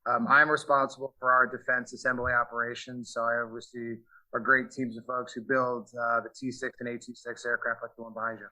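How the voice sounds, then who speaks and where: A man's voice: medium pitch, flat delivery, brisk, pushed and bright, rough recording. A man answering interview questions about his career, earnest, with many fillers and restarts. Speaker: value stream manager, guest. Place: aircraft manufacturing facility.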